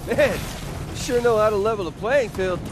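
Lively, cocky young male hero. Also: sits high, normal speed, music in the background. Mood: cocky, urgent. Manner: taunting, casual. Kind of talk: video game battle dialogue.

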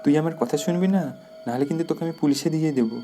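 A stern, scolding man, put on by a woman in a storybook audio drama, pitched low and talking fast and harshly in a darker tone. Soft music runs beneath him. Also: lightly acted.

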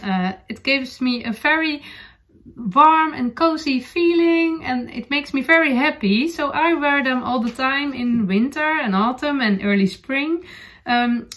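A woman from the Netherlands in her forties with a Dutch accent, chatting casually to camera from home. She hesitates often, filling pauses with ums and uhs while she searches for English words. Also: fairly high pitch, a bit bright. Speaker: knitting podcast host. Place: bright room at home.

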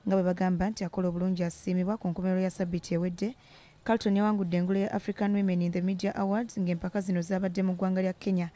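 A woman reading a scripted television news voice-over in a brisk, steady newsreader manner. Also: on the low side. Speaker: news reporter.

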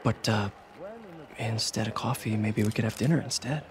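Game lead: hesitant, earnest young American man male actor, lowish voice, in a busy crowd. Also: steady tone, moderate pace, close to an everyday voice. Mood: awkward, hopeful. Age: young adult. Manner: hesitant, tentative. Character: earnest, awkward young man with lingering worries.

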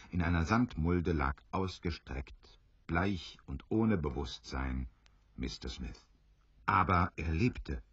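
A man narrating an adventure radio play as an unhurried, knowing storyteller. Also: deep voice, breathy edge, dry recording, highs cut off, exaggerated voice.

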